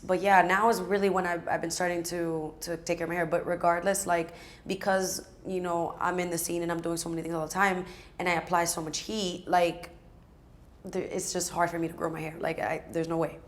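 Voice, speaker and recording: A raspy-voiced woman in her twenties, chatting casually as a guest and filling her talk with "like". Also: fairly low pitch, flat delivery, fairly quick. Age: 20s. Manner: chatty, many fillers like "like". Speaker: guest, aspiring singer and rapper. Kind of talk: casual sit-down chat.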